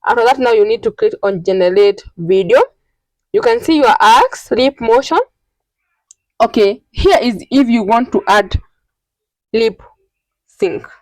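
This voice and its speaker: A woman with a fairly high voice hosts an informal tutorial, walking through each step. She speaks in a halting, repetitive, instructional way, and the sound is dry and close. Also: animated, darkish tone, dry recording, rough recording. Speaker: tutorial host.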